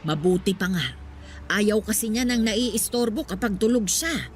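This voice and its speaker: A woman's voice: mid-range voice, unhurried, close to an everyday voice. Actress as homely older woman chatting, over music. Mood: chatty. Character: elderly mother figure, plain-spoken and fond. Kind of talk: Tagalog radio drama dialogue.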